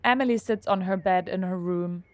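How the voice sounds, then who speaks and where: A woman's voice: lowish voice, moderate pace, no room sound. A woman reading scripted narration as the narrator of an audio drama.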